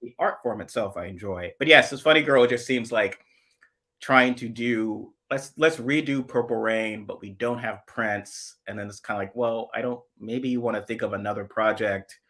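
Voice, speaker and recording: Low dry-voiced musing man. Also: moderate pace. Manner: dry, musing, trails off quietly. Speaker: co-host. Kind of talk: casual podcast chat.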